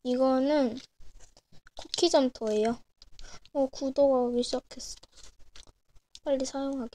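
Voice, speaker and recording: A young woman with a cold, hoarse and clearing her throat often, chatting casually as she shows her handmade miniature crafts at a desk. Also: on the high side, mellow. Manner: chatty, frequent throat clearing and self-corrections. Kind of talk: casual show-and-tell narration. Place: small indoor room at a desk. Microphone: camera over a desk, speaker off screen.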